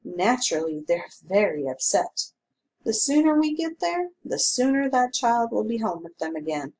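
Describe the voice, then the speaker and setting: A woman's voice: fairly high pitch, very animated, normal speed, a little mellow. A woman voicing a courteous, reserved older man who speaks patiently in few words. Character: courteous, tight-lipped older gentleman with gray beard.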